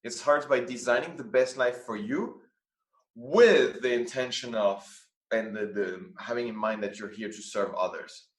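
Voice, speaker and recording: A man's voice: mid-range voice, a little slow, reverberant. A man from France, a guest talking earnestly over a video call and often restarting his phrases. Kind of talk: conversational interview.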